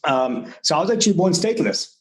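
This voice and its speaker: Young Kenyan-born man, mixed Kenyan-British accent, earnest and halting, in an echoey room. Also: fairly high pitch, lively intonation. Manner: earnest, many restarts and fillers. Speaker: immigration consultant. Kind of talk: conversational interview.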